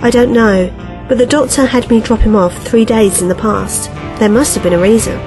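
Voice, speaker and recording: A woman playing a calm, knowing ally in a science-fiction audio drama, composed and matter-of-fact. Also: a little high, normal speed, over music, close to an everyday voice. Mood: reassuring. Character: calm, knowing female ally with a secret plan.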